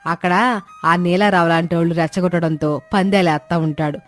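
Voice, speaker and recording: A woman's voice: fairly low pitch, steady tone, fairly quick, natural voice. A woman playing a fretful older village mother and wife in a family story. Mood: worried. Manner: fretful, lamenting. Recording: music bed under the voice. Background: background music.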